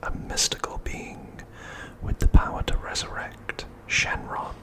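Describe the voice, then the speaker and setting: A man hosting an ASMR video, speaking in a hushed, deliberate whisper. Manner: hushed, slow, deliberate delivery.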